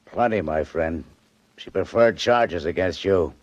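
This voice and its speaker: A stern, skeptical cop in a vintage radio drama. His low voice is curt and impatient. The old recording is dry and a bit muffled. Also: a little slow, no echo. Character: gruff, impatient, skeptical police officer. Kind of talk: 1940s radio drama acting.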